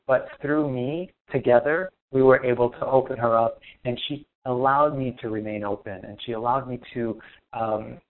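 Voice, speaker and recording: Male guest from Lebanon, soft and reflective, hesitant, mid pitch, phone-quality audio. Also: fairly even intonation, normal speed. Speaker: guest, spiritual teacher. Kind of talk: interview answers. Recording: thin phone-like line.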